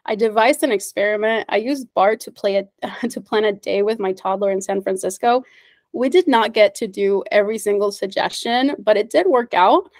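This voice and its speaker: An upbeat woman whose first language is Spanish leads an online meetup over a video call. Her voice sits in a middle pitch, and the sound is dry and close with no room echo. She keeps a friendly presenter tone, with fillers and little laughs along the way. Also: moderate pace, a little mellow. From United States.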